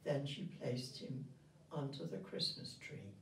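An elderly woman reads a children's story in a gentle, grandmotherly manner. Her pace is slow and deliberate, and her pitch rises and falls in a lively way. She is recorded at some distance in a roomy indoor space. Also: a little hiss or hum. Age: 70s or older. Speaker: retirement village resident reading a story. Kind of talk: storybook read aloud to children. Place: indoor room with festive backdrop. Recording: roomy sound, distant microphone.

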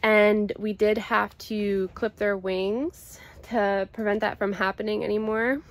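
Slow-paced woman vlogging casually outdoors. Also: medium pitch, fairly even intonation. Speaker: homesteading vlogger. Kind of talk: casual explanatory vlog talk. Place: outdoors in a covered chicken run and coop. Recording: outdoor ambient noise.

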